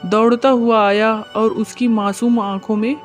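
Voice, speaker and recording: A woman's voice: a little high, level tone, a little slow, soft background music. A woman narrating a scripted moral story as the narrator.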